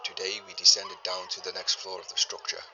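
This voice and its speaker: An actor playing a learned 1930s archaeologist, a man recording a formal, deliberate journal in grave and uneasy tones for an audio drama. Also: sits low, little pitch movement, bright, forceful tone, muffled recording, a little hiss or hum, full character voice. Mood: grave unease. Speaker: expedition leader keeping a recorded log. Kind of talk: scripted audio drama monologue, spoken journal.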